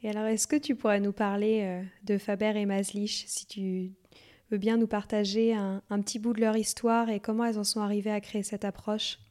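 Mid-pitched female podcast host, measured and clear. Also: flat delivery, a little mellow. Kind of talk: scripted intro then interview questions.

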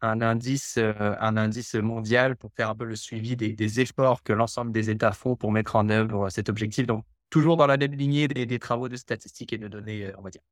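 A man's voice: lowish voice, fairly even intonation, brisk, few pauses, no room sound, studio quality. A man from Quebec, a podcast guest who speaks with many hesitations and restarts. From Canada (Quebec). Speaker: guest, development researcher. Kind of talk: podcast interview.

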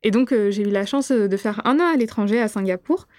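A young woman from Réunion, whose first language is Gujarati, answering interview questions thoughtfully with many pauses and fillers. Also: mid-range voice, darkish tone, dry recording, clean studio sound. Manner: thoughtful, frequent hesitations. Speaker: guest.

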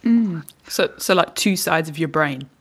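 A woman's voice: low voice, animated, measured pace, husky. A woman hosting a podcast, asking guests questions in a casual, playful way. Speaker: podcast host. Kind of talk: casual interview.

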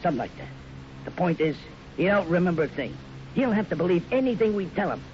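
An actor playing a sly, scheming bank robber in a radio western, smooth-talking and sardonic in a folksy way. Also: male voice, sits high, sing-song, fast talker, a little mellow. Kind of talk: 1950s radio western acting. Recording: muffled 1950s radio recording, faint noise.

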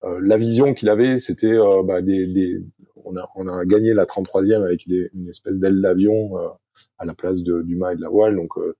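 A middle-aged French-speaking man, a sailing coach answering interview questions in a halting way, full of fillers and restarts. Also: sits low, fairly even intonation, warm tone, slightly rough, no echo. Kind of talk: hesitant conversational interview answers.

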